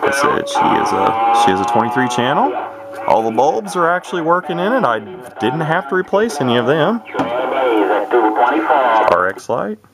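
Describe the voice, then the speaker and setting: A man giving a casual, unscripted hobbyist demonstration, talking in a halting, rambling, matter-of-fact way. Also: medium pitch, gravelly, heavy background noise. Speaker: radio hobbyist demonstrating a repaired CB radio.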